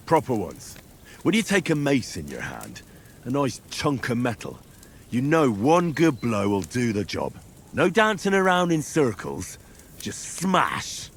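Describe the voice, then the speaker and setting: Plain-spoken young medieval man-at-arms, lively delivery. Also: mid-range voice, measured pace, slight background noise. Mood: gruff relish. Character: young blacksmith's son turned man-at-arms, blunt and dutiful. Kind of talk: scripted dialogue in a medieval video game.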